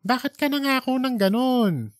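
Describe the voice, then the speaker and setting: A man's voice: very high-pitched, lively intonation, moderate pace, no room sound, clean studio sound, voice pushed up. Man acting as an exasperated male newspaper editor, pointed and scolding. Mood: exasperated, stern. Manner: restrained irritation, pointed questioning.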